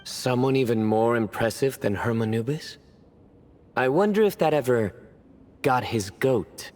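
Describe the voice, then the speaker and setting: An actor playing a deadpan, self-satisfied young man with a love of corny jokes in a video game. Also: medium pitch, measured pace, natural voice. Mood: jokey. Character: deadpan, proud young man who loves corny puns. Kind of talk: scripted video game dialogue.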